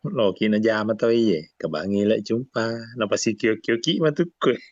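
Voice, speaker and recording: Man, low voice, casual live streamer, dull lo-fi audio. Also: lively intonation, normal speed, no echo.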